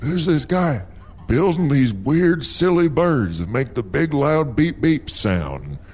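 A man's voice: fairly high pitch, big pitch swings, takes it slowly, a little mellow. A man playing an earnest, melodramatic coworker, ambient noise. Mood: earnest, emotional. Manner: melodramatic, emphatic repetition. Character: earnest, pleading male coworker in absurd goose factory. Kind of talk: sketch comedy audio fiction.

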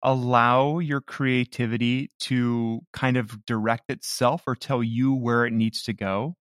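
A man's voice: medium pitch, fairly even intonation, measured pace, a bit bright, dry recording. Reflective man in a dry recording. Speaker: audio comedy creator, interviewee. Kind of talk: casual interview chat.

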